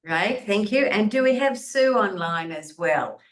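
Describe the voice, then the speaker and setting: A woman's voice: mid-range voice, animated, measured pace, roomy sound. A woman leading a video meeting, introducing team members in an upbeat way. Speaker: course lead. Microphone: video call.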